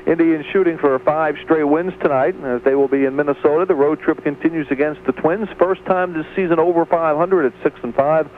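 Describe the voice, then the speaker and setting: A man's voice: on the high side, brisk, few pauses. Male sports reporter on tinny radio. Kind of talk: scripted sports update. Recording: tinny AM radio, faint hum.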